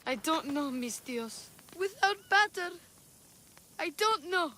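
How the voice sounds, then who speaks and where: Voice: extremely high pitch, lively intonation, slow, bright, forceful tone, in a large hall, slight background noise, heavily acted. An actor playing a mischievous young boy who has known grief, in video game cutscene acting. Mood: grieving, upset. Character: mischievous grieving young boy, light-fingered.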